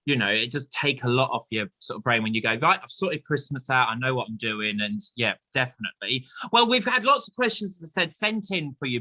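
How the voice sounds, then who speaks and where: A man's voice: mid-range voice, pushed and bright, no echo, low-quality recording. A man hosting an online chat show in a chatty, playful, enthusiastic and jokey way.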